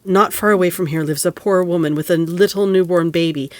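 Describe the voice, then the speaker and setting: An actress in an audio play playing a kindly middle-aged mother with a gentle, measured manner. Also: lowish voice, fairly even intonation, no room sound, lightly acted. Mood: earnest. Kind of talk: audio play acting and storytelling.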